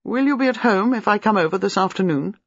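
A narrator voicing a reserved older doctor who speaks in measured, hesitant phrases. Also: mid-range voice, animated, fairly bright tone, slightly rough, no room sound, pitched above the performer's own voice. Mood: guarded. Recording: dull lo-fi dry recording.

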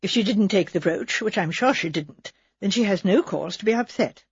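A woman voicing an elderly lady who speaks in a measured, dry way. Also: mid-range voice, lively intonation, husky, no echo, muffled recording.

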